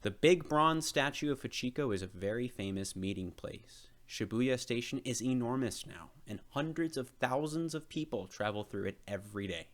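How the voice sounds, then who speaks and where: A man's voice: medium pitch, animated, moderate pace, hardly any pauses. A man reading a children's storybook aloud as narrator. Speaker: storybook reader.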